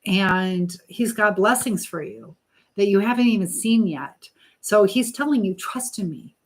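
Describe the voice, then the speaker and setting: Earnest, halting woman live streamer. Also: mid-range voice. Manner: earnest, exhorting, halting with pauses; religious encouragement. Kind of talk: live chat advice, reading out and answering viewer comments.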